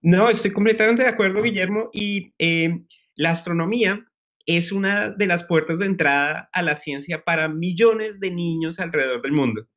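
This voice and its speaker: A man, a scientist guest, explaining science in a measured way during a live news chat. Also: high voice, fairly bright tone. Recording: muffled broadcast audio.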